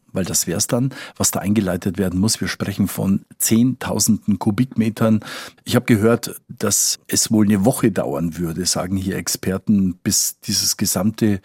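A man with a husky voice explaining things conversationally as a correspondent in an interview, often repeating words as he goes. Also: lowish voice, a little mellow, dry recording, studio quality. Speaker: foreign correspondent interviewed as expert.

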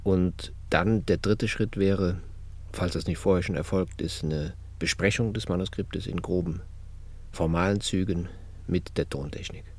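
A man's voice: low voice, fairly even intonation, unhurried. A man, an author and director, explaining his working process step by step in an interview statement. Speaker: radio drama author and director.

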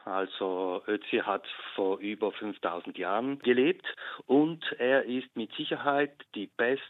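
Measured male museum expert on phone-like line. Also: lowish voice, fairly even intonation, no room sound. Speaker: museum expert interviewed as a guest. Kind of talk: informative interview answers. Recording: tinny, narrow phone-like line.

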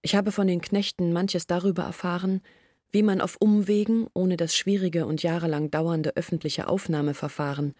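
An actress playing an earnest village woman who explains and confesses in a measured literary radio drama monologue. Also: on the low side, fairly even intonation, darkish tone, dry recording, studio quality, natural voice. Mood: candid confessing.

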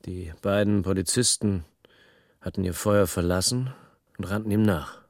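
An actor playing a restless small-time crook who narrates a noir radio drama in terse phrases with a husky voice. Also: male voice, low voice, fairly even intonation, moderate pace, dry recording, studio quality. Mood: tense, brooding. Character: hard-boiled small-time male crook recounting his past, restless. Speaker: narrator and lead.

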